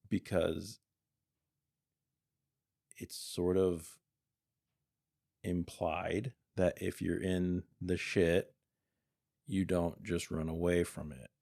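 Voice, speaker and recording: A man in his forties, co-hosting a podcast, talking candidly and a little haltingly in casual chat. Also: sits low, warm tone. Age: 40s. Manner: candid, hesitant with restarts. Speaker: podcast co-host.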